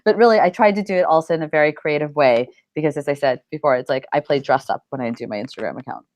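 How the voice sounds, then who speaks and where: Low-pitched middle-aged woman, chatty interviewee. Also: fast, darkish tone, no room sound. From United States. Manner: rambling, informal, frequent "like" and "you know". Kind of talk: casual interview chat.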